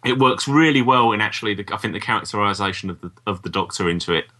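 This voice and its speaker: A man's voice: fairly low pitch, fast, pushed and bright. A man talking casually as co-host of a review podcast. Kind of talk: casual review chat about audio dramas.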